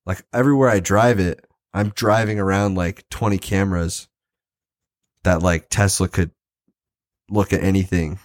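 Easygoing man with a lowish voice. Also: fairly even intonation, normal speed, long pauses, dry recording, clean studio sound. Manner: easygoing, wry, chuckles often. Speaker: podcast co-host. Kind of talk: casual chat.

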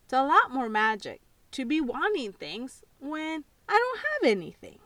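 A dreamy, imaginative little girl of about four wishes out loud in a high, lively voice, as a woman reads her part. She talks slowly and earnestly in a storybook tale. The sound is dry and set a little back from the mic. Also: no room sound, distant mic, full character voice. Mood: wistful.

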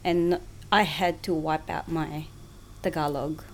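A woman's voice: deep voice, fairly even intonation, takes it slowly, a little hiss or hum. A woman, originally from the Philippines, chatting as a podcast guest and often restarting her sentences. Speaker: guest, small business owner. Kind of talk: casual interview chat.

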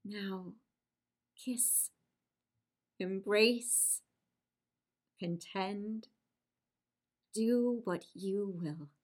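A woman performing a lovesick, impulsive young woman in Shakespearean verse, with dramatic, drawn-out delivery. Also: medium pitch, darkish tone. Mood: tender, lovesick. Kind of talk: theatrical Shakespearean verse acting.

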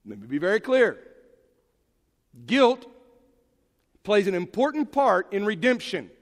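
Man preaching, pretty high voice, swings loud to hushed, big echoey hall. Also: unhurried. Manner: animated, emphatic preaching with sudden drops to quiet. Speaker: pastor. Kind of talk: sermon. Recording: long hall reverb.

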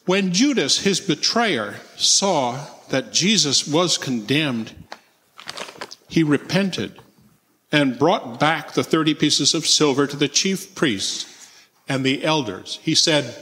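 A man's voice: fairly high pitch, animated, takes it slowly, bright, forceful tone. A man reading a Bible narrative aloud as the narrator at church. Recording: long church reverb.

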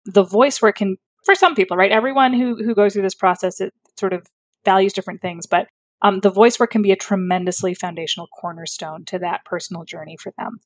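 A woman's voice: mid-range voice, fairly even intonation, a little fast, no echo. A woman speech therapist explaining her work in a thoughtful, articulate way, with fillers and self-corrections. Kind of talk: conversational explanation.

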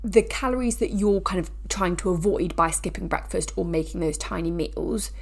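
A woman's voice: lowish voice, moderate pace, gravelly, slightly rough. A woman hosting a fitness podcast, speaking casually with a stuffy nose from a cold, chatty and prone to restarting her sentences. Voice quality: nasal. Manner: chatty, frequent restarts and asides. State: blocked sinuses, nasal from a cold. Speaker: podcast host. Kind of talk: casual educational podcast talk.

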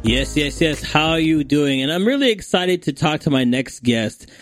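A man hosting a podcast, enthusiastic and excitable, often repeating words as he hypes his guest. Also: a little high, normal speed, music in the background. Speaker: podcast host. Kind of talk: casual interview hosting.

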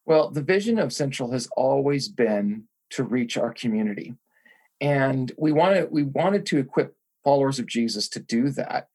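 A man's voice: medium pitch, animated, normal speed, a little mellow, breathy edge. A man who is a pastor, guest on a podcast, talking earnestly and reflectively about his calling. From grew up in Thailand. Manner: earnest, reflective, with some restarts. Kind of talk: interview guest answering.

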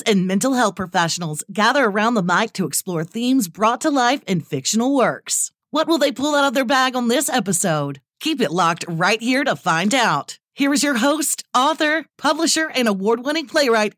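A woman's voice: on the high side, lively intonation, moderate pace, few pauses, fairly bright tone, no room sound, clean studio sound. A woman announcer reading a scripted podcast introduction.

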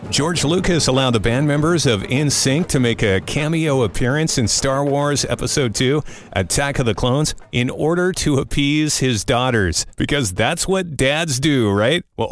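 A man hosting a comedy podcast in a morning radio style, tossing in wisecracking, conversational asides. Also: medium pitch. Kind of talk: morning-show radio banter and news rundown.

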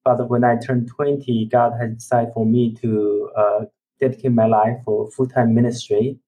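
Guy from china telling his story over a call, earnest, stops and hunts for words, pretty flat monotone. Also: mid-range voice, rough recording. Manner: earnest, halting, searching for words. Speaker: ministry executive guest. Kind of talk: personal testimony in interview.

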